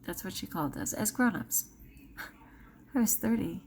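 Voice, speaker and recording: A woman's voice: medium pitch, expressive, darkish tone. Candid middle-aged woman, faint background noise. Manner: confessional and emphatic, with dramatic pauses and self-quoted inner voices. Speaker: vlogger. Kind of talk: candid personal reflection to camera.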